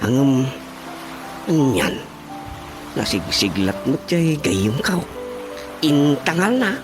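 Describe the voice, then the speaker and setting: Man playing a giggly, lovestruck farmhand, swooping pitch. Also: big pitch swings, takes it slowly. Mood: cheerful. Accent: Ilocano. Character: giggly, lovestruck, simple-hearted male farmhand nephew. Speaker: radio drama actor. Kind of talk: Ilocano radio drama comedy acting. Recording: clear music behind the voice.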